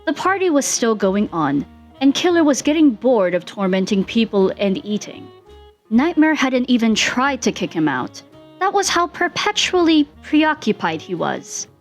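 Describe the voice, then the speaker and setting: Animated woman narrating over faint music. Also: a little high, normal speed. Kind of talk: expressive fan fiction narration.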